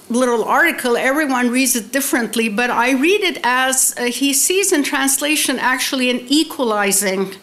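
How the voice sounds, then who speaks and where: A middle-aged woman speaks in a fairly high-pitched voice as she presents a formal academic lecture. Her delivery is deliberate and scholarly, largely read out, with weight placed on key terms. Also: fairly bright tone. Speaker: keynote speaker, literary scholar. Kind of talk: academic conference lecture, mostly read from prepared text. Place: indoor conference hall, seated at a desk with laptop. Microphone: desk microphone on a stand.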